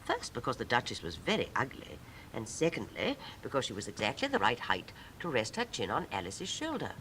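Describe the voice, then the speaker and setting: A woman's voice: wildly swooping pitch, a little fast, fairly bright tone, exaggerated voice. A woman actor narrating a storybook in a poised 1950s style. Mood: measured. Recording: old 1950s record with rumbling noise.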